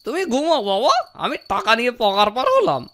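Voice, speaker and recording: A man's voice: very high voice, very animated, a little fast, a bit bright, a little hiss or hum, exaggerated voice. A man voicing a sly, greedy servant and petty thief in a comic cartoon, theatrical and wheedling. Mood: gleeful scheming. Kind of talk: exaggerated comic cartoon dubbing.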